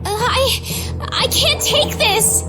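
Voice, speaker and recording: A woman's voice: very high-pitched, takes it slowly, loud music behind the voice. A woman playing an earnest, emotional young heroine in a fantasy video game. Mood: distraught.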